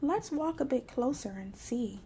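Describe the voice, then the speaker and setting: A woman voicing a gentle, slow-witted, kindly male toy bear in a storybook, soft and reassuring. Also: sits high, animated, vocal fry, slight background noise, heavily acted. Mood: wary.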